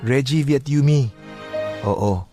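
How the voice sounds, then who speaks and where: A man's voice: medium pitch, a little slow, over loud music. A man with a Filipino accent, a radio host reading a listener's letter as dramatic narration.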